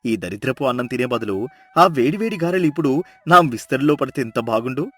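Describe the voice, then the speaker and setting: A man voicing a poor, greedy village husband in a storybook drama, talking in a lively, excitable way. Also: mid-range voice, fairly quick, no echo, soft background music. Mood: hungry.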